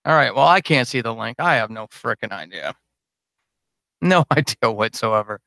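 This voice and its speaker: A man's voice: mid-range voice, expressive, normal speed, vocal fry, bright, forceful tone, no echo, clean studio sound. A man chatting casually and unscripted to his supporters on a livestream, rambling, informal and self-deprecating as a podcast host.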